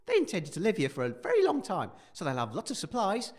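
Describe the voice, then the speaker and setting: An eager male radio presenter played by an actor in a comedy adventure, speaking in a high voice with wildly bouncing intonation. He is naive and earnest, a bit hesitant as he addresses his listeners. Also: wildly swooping pitch, fast, darkish tone, full character voice. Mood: cheerful, curious. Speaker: radio host. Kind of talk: scripted audio comedy, live-broadcast narration.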